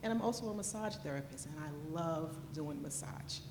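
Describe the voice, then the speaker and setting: A woman's voice: deep voice, lively intonation, brisk. A woman training officer from New York, introducing herself in a matter-of-fact way. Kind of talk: self-introduction at a conference. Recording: echoey conference hall with noise.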